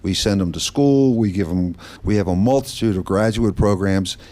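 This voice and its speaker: A middle-aged man from the Netherlands speaks in a very low voice, answering interview questions on a radio set. He explains things steadily and methodically, stopping now and then to restart a phrase. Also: normal speed, warm tone. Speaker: guest, branch manager of a nonprofit. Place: studio set in a mall.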